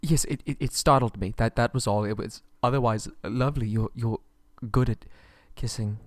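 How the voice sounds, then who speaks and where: A man's voice: mid-range voice, moderate pace, dry recording, close to an everyday voice. A man playing a nervous, submissive, polite young prince held captive, stammering and pleading in an ASMR roleplay audio drama. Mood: timid, appeasing.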